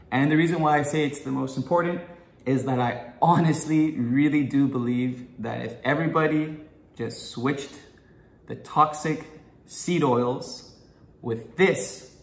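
A man's voice: mid-range voice, a bit bright. Chatty young man in an echoey kitchen. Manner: chatty and enthusiastic, many "um"s. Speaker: food vlogger, guest host. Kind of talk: casual to-camera vlog. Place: home kitchen, indoors.

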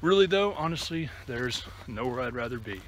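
A man in his thirties records a casual hiking vlog outdoors on a handheld camera. His mid-pitched voice rambles along haltingly as he walks, with clear ambient noise behind him. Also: lively intonation, a bit bright, dull audio. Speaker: hiking vlogger. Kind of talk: casual vlog chat to camera while walking. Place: outdoors on a wooded trail and open meadow. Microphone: phone or camera at arm's length.

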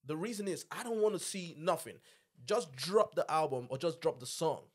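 Man, thirties, fairly high voice, emphatic slangy podcast host, close mic. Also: expressive, normal speed, slightly rough. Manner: emphatic, slangy, repeats tags like "you know what I'm saying". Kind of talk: casual podcast chat. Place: small indoor home-style podcast studio. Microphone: close boom-arm podcast microphone with headset.

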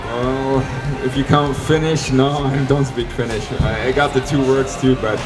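A young man from Switzerland with a Swiss German accent, answering fan questions in a relaxed, joking way with many fillers. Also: medium pitch, moderate pace, over music, low-quality recording. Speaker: hockey goalie guest. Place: indoor rink event stage. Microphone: handheld mic.

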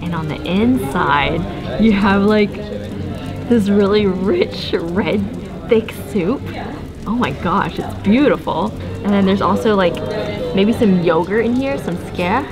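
A woman's voice: mid-range voice, lively intonation, takes it slowly. Bubbly, giggly young woman vlogging. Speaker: travel vlogger. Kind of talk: casual travel vlog chat. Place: cozy restaurant and camper van. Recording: background music under voice.

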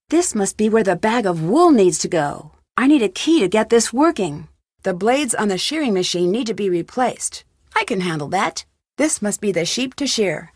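A woman's voice: medium pitch, breathy edge, no room sound, clean studio sound. A woman playing a curious young female detective in a video game, musing to herself. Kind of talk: video game character acting.

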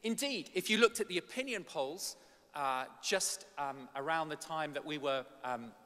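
Bright-voiced middle-aged man lecturing in a hall. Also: a little high, lively intonation, moderate pace. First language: English. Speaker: academic conference speaker. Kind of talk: conference lecture. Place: large ornate hall indoors. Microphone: gooseneck podium microphone. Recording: reverberant large hall.

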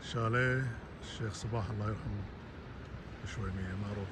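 A man's voice: fairly low pitch, fairly even intonation, fast talker. A man with a Kuwaiti Arabic accent giving casual running commentary on a road trip, pointing out the sights as he films outdoors on his phone. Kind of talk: casual travel narration. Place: outdoors by the sea and on desert roads. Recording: loud wind noise on a phone.

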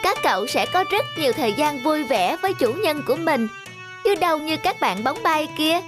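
High-pitched, gossipy little toy. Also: female voice, lively intonation, normal speed, over music. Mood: curious, pitying. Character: chatty, pitying little toy on a shop shelf. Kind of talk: cartoon dialogue.

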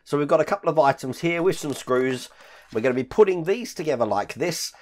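A man in his fifties with a hoarse, deep, rough voice, casually talking through a model build step by step as a channel host. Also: animated, brisk, a little mellow. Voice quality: deep rough voice with occasional coughs. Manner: chatty, explains each step while handling parts. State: hoarse, croaky voice after illness. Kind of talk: casual hands-on build walkthrough. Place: home hobby room full of collectibles.

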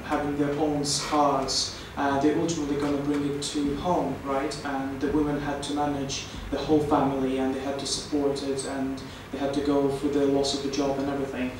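Halting man in a boomy, echoing theatre. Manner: halting, searching for words. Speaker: audience member asking a question. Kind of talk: spoken audience question. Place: theatre audience. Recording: very echoey, distant, noisy theatre.

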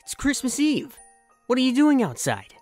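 A woman voicing a young male cartoon hedgehog hero, cocky yet shy, expressive and easily flustered, in an amateur comic dub. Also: high-pitched, moderate pace, faint music behind. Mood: concerned. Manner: expressive, swinging from smug to flustered.

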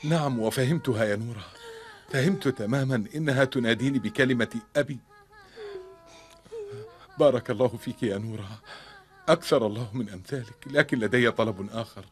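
A man voices a devout, emotional middle-aged cartoon father. His pitch is average for a man. He speaks earnestly and from the heart. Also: brisk, lightly acted. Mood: moved, grateful. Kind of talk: dubbed cartoon drama acting.

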